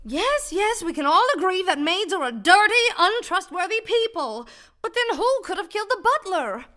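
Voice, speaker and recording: A ditzy damsel from a comic radio mystery played by a woman, with an extremely high, bright voice. She is gushing and melodramatic, in an old-time heroine style. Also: big pitch swings, normal speed, heavily acted. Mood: concerned, prim. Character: dramatic, ditzy, always-hungry 1940s-style mystery heroine.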